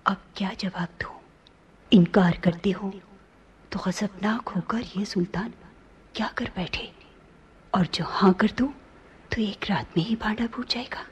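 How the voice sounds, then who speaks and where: A woman's voice: mid-range voice, unhurried, pauses often, slightly rough. A woman playing a resolute princess disguised as a prince in a radio play, speaking formally and earnestly. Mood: anxious aside. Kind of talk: Hindi-Urdu radio play acting.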